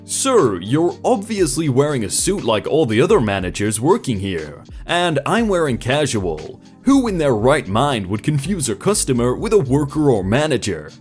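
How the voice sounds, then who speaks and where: A man's voice: medium pitch, huge pitch swings, moderate pace, hardly any pauses, a little mellow, soft background music. A man voicing a smug customer who puts on an American accent and plays innocent. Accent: put-on American.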